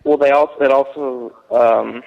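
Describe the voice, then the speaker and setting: A man calling in to a live show, speaking in a hesitant, halting way. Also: mid-range voice, fairly even intonation, vocal fry. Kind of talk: phone-in call. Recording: reverberant, phone-like line.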